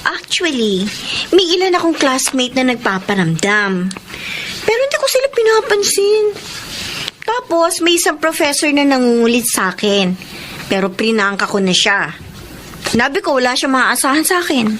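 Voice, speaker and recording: An actress playing an earnest, guarded young student in a radio drama, with a Filipino accent and sincere, measured delivery. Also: female voice, sits high, sing-song, fairly bright tone, slight background noise. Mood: apologetic, honest. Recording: music bed behind the voice.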